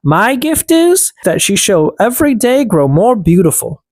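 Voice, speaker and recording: A tiny magical elf from a storybook , acted out by an audiobook reader, speaking in a thin, high voice. The pitch swoops about in a lively way, and the sound is close and dry. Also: a little slow, dry recording. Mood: pleased, generous. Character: three tiny magical elf men, judging and granting gifts.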